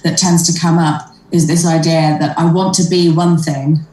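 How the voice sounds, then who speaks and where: A woman's voice: low voice, level tone, normal speed, dark tone, distant mic. A woman in her thirties with an English accent, a comedian talking casually in an interview, with many fillers and restarts. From Australia. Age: 30s. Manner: hesitant, lots of fillers and restarts, laughs often. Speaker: stand-up comedian, guest. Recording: roomy, from off the mic.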